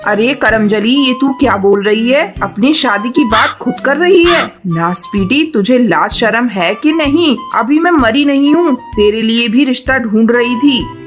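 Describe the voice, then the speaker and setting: Woman playing an emphatic, highish-voiced mother bird. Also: lively intonation, unhurried. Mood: indignant scolding. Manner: scolding, emphatic. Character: scolding middle-aged mother bird, blunt and bossy. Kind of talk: Hindi cartoon voice acting. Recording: music behind the voice.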